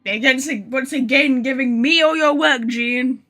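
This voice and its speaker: Woman game streamer, high and bright, informal, sweary, self-deprecating live chat. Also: unhurried, soft background music. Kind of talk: casual live gameplay chat, reading some lines aloud. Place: bedroom.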